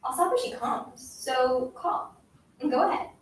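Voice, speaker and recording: A woman's voice: high voice, expressive, a little slow. A woman playing a cruel schoolgirl who bullies a silent classmate with taunting, insistent commands in a dramatic story performance. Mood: taunting, commanding. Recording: very echoey room, distant mic, faint noise.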